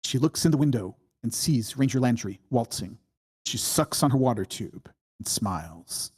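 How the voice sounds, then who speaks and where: A narrator reading screenplay stage directions at a table read in measured, deliberate short phrases. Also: mid-range voice, lively intonation, darkish tone, husky. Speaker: screenplay reader.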